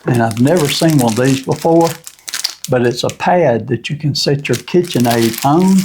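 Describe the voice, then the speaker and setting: A man's voice: medium pitch, normal speed, noisy background. An older man co-hosting a channel, plainly reading out viewer gifts and thanking each sender. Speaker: channel co-host. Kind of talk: casual chat reading viewer mail.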